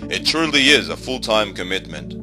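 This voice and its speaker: A man reading scripted documentary narration in a measured, wry way. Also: lowish voice, very animated. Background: music.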